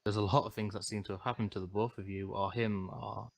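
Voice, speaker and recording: A man's voice: on the low side, fairly even intonation, fast, gravelly, no room sound. A man playing a tabletop game over a voice call, switching between casual chat and questions in character, swearing and laughing. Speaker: player.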